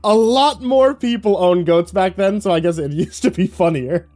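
A man's voice: sits high, normal speed, a little mellow, voice pushed up, natural voice. A man voicing a cocky teenage boy who mocks and rambles. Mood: snide. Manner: mocking, laughing, rambling.

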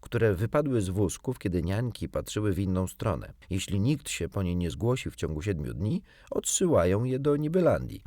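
A man voicing a cocky, cheerful, impatient young boy hero in a storybook, brisk and boastful. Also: low-pitched, very animated, mellow, dry recording, clean studio sound. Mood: boastful, chatty.

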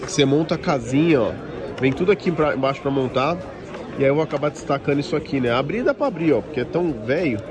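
A man's voice: fairly high pitch, lively intonation, quick pace. A Brazilian man with a Brazilian Portuguese accent, chatting informally and in slang as he gives a casual walk-around vlog commentary. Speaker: toy collector and vlogger. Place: busy toy convention, recorded on the move. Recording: busy crowd noise of a convention. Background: crowd chatter.

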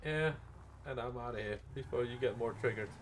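A man's voice: medium pitch, fairly quick, slight background noise. A laid-back man chatting casually in online game roleplay, swearing freely into a close microphone. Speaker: live streamer playing a medic in online roleplay.

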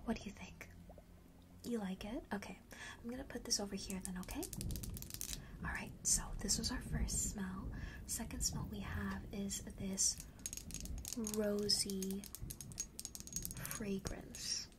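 A soft, breathy whisper comes from right at the microphone, with clear noise behind it. It is a friendly young witch running a perfume shop, acted by a woman for an ASMR roleplay. She coaxes and reassures gently, in casual, slangy phrasing. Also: mid-range voice, fairly even intonation, slow, pauses often, natural voice. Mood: gently coaxing. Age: young adult. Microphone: close large studio microphone.

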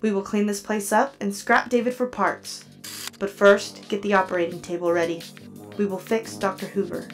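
A woman's voice: mid-range voice, steady tone, moderate pace, faint music behind, natural voice. An actress as a cold, vengeful female android. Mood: cold, composed. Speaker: voice actor. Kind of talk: audio drama acting.

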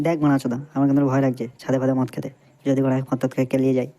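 A man's voice: medium pitch, completely flat delivery, rapid-fire, mellow, close to an everyday voice. A man voicing a generous but wary young male buddy in a crude comedy cartoon, blustery and slangy, often repeating himself. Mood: wary, irritated.

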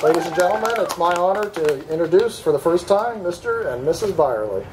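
A man's voice: sits high, animated, fairly quick, over a noisy crowd. A man officiating a wedding, making a formal ceremonial announcement. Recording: very noisy outdoor event recording.

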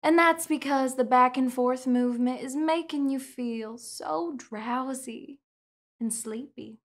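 A young woman in a studio, speaking soft, slow, soothing hypnosis roleplay with repetitive counting and commands. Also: high-pitched. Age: young adult. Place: indoor studio set with curtain backdrop.

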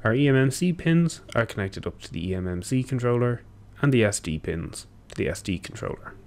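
A young man giving a technical walkthrough, steady and explanatory with occasional ums and self-corrections, recorded at a desk in a small room. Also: medium pitch, a little fast. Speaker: engineer presenting a tutorial. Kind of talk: technical walkthrough over screen share.

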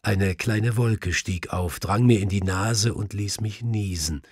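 Deep, husky male audiobook narrator. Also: darkish tone, no echo, studio quality. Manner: measured, first-person storytelling. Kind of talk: scripted literary narration.

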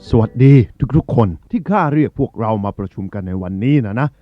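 A man's voice: on the low side, very animated, fairly quick, muffled recording, faint music behind. A man playing an authoritative yet caring eagle who rules the birds in a children's story, addressing his gathering with command.

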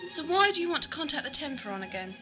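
Inquisitive young female adventurer acted by a woman, high voice, earnest, music behind, audio drama. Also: very animated, fast talker. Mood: earnest, curious. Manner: earnest, questioning. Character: young, inquisitive female time-travelling companion.

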